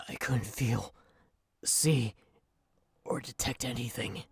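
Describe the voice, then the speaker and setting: A man voicing a young shapeshifter, shaken and halting, recounting how his humanity was taken. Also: medium pitch, creaky, husky, dry recording, clean studio sound, full character voice. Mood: haunted. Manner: halting, haunted, breaking into cries. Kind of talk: audio drama acting.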